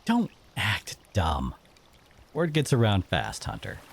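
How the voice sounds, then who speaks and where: Bitter merfolk exile played by a man, stern and accusing interrogator, very expressive pitch, slightly husky, audio drama. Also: slow pace, full character voice. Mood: stern, menacing.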